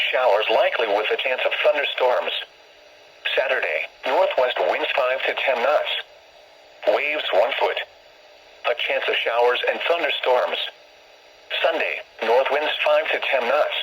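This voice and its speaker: Male, synthetic weather announcer, formal, impersonal, sharp forceful tone, rough-edged, scripted forecast reading, radio broadcast sound. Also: medium pitch, low-quality recording. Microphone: broadcast heard through a weather radio.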